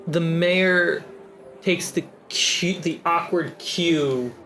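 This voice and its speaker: A game master narrating a tabletop adventure in a relaxed, descriptive way, prompting players. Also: medium pitch, drawn-out words, slight background noise. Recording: faint music behind.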